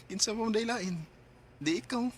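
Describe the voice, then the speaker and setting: A lovestruck, boyish country lad acted by a man speaks in a lightly high voice with a Filipino accent. It is an eager radio drama performance on a clearly noisy recording. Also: moderate pace, lightly acted. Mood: sweet, courting. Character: eager, boyish young rural man in love.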